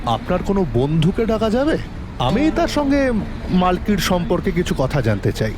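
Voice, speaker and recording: A man's voice: high-pitched, huge pitch swings, moderate pace. A man playing a polite, probing police detective who narrates his case in a dramatic audio story, with questioning delivery. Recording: long reverb, music bed.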